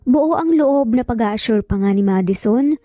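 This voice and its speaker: A woman's voice: on the high side, lively intonation, moderate pace, mellow, no echo, studio quality, faint music behind. A woman with a Filipino accent reading dramatic scripted narration as a storyteller.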